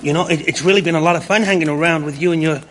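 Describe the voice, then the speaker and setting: An actor playing a nervously polite man flattering his host in a comedy. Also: a little high, racing, a little hiss or hum, natural voice. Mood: nervous politeness. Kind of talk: comedy sketch acting.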